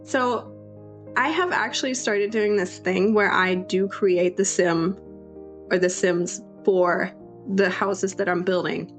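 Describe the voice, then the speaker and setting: A young woman with a sore throat and a raspy voice, chatting through a casual voiceover for her game-building video, apologetic and full of restarts. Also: mid-range voice, slow. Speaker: YouTube creator. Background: faint background music.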